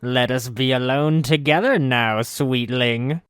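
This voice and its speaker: A man's voice: medium pitch, takes it slowly, fairly bright tone, clear, resonant tone, no room sound, studio quality, pitched above the performer's own voice. A man voicing an ancient, possessive female witch who croons and cackles with imperious menace. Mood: crooning.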